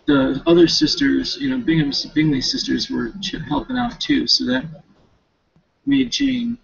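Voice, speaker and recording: A man giving a casual, rambling recap as a student, talking half to himself in informal, slangy, halting phrases with self-corrections on a dull home recording. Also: extremely high pitch, very animated, gravelly, husky. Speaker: student reading a novel for a class paper.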